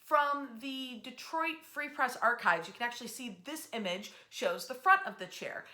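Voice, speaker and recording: A woman hosting a history storytelling series, talking in a chatty, emphatic way with dramatic pauses and drawn-out words. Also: sits high, animated, sharp tone. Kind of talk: animated storytelling to an audience online.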